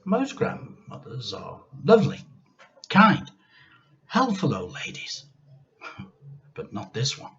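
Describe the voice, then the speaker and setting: A man narrates a children's story as a teacher would, taking his time over each phrase. His delivery is expressive and emphatic, in a mid-range voice. The sound is slightly dull and lo-fi. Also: mid-range voice, slow pace, gravelly, pauses often. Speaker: teacher reading aloud to pupils.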